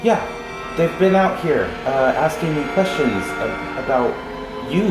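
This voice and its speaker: A woman's voice: sits low, expressive, measured pace, reverberant, over loud music, poor audio. A woman voicing an incredulous, confrontational woman who has just moved into a house, in an audio drama.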